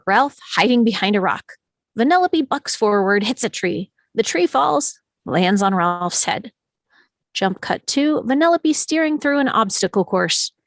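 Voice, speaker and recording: A woman's voice: a little high, no room sound. A woman reading stage directions as the narrator of a live script table read.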